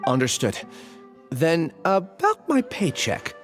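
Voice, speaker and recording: A man's voice: on the high side, huge pitch swings, takes it slowly, full character voice. A man voicing an apologetic, earnest young part-time worker in a video game, meek and polite. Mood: polite, dutiful. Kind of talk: scripted video game dialogue. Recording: clear music behind the voice.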